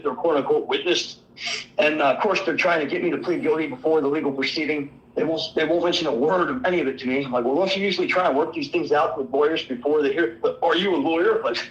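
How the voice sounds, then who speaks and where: A man calling in to a talk show, hoarse from illness, rambling through an indignant account of his run-in with the law. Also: fairly high pitch, lo-fi sound. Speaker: caller. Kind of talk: call-in show chat.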